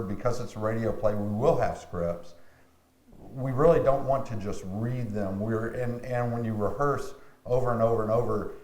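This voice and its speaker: A man, an actor being interviewed, talking casually in a rambling, halting way that repeats phrases. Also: lowish voice, a little mellow, roomy sound, poor audio.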